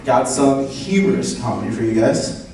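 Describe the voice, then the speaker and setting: A man's voice: medium pitch, animated, moderate pace, a crowd in the background. A man hosting a comedy night, introducing acts and joking with a co-host. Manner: upbeat, joking banter with a co-host. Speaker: event host. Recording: echoey hall, far mic, audience.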